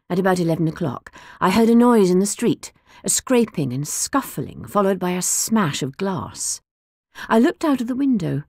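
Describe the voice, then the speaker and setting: Woman narrator, audiobook, gravelly, dry close studio sound, measured and expressive. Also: medium pitch, no room sound. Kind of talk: scripted first-person narration. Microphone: close studio mic.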